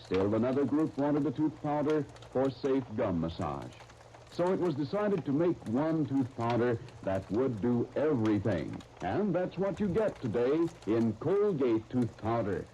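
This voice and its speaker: A man announcing and narrating in scripted 1930s radio style, with a polished, measured delivery. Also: mid-range voice, warm tone. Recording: muffled, noisy 1939 radio disc recording.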